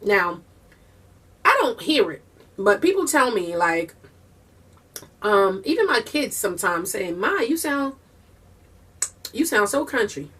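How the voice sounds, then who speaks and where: Fast-talking woman with a Southern Puerto Rican lilt. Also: mid-range voice, very animated, a bit bright, slightly rough, far from the mic. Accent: Puerto Rican-tinged Southern US. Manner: breaks into bursts of singing. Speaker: vlogger and mother. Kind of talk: casual mukbang chat while eating.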